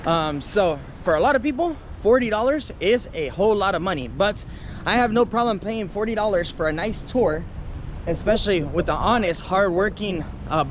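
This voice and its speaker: A man's voice: sits high, animated, normal speed. A man in his thirties with an American accent, chatting casually and in a friendly, colloquial way as a travel vlogger. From California, United States. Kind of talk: casual chat to camera and with locals. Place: outdoors by a river and in an open motorboat. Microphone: action camera at arm's length. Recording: noisy outdoor recording with engine and ambience.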